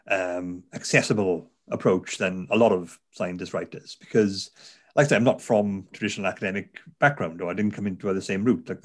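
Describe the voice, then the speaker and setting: Welsh male author, lower register, conversational interviewee, lots of restarts. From South Wales. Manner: chatty, frequent fillers and restarts. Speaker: author, neuroscientist.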